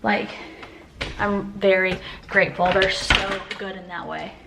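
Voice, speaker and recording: A woman's voice: mid-range voice, fairly even intonation, unhurried, vocal fry, a bit bright. A woman in her thirties with an American accent, a mother vlogging in a casual, informal, rambling chatty style. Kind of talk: casual chat to camera. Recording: noisy home-video sound with clicks.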